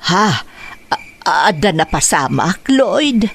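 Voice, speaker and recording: Woman acting a slow-speaking, concerned older mother. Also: lowish voice, very animated, creaky, a little hiss or hum, exaggerated voice. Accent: Ilocano. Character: concerned, gentle older mother counseling her son. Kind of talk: Ilocano radio drama acting.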